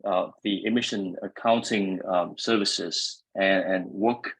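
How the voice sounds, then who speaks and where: A man's voice: deep voice, steady tone, slow, husky, low-quality recording. A man with a Vietnamese accent moderating an online panel, hesitant with many fillers. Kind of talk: webinar moderation.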